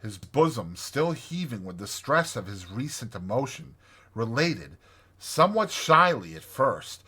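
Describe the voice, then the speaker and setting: Expressive, slightly husky male storyteller. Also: mid-range voice, unhurried. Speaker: audiobook narrator. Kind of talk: dramatized storybook narration.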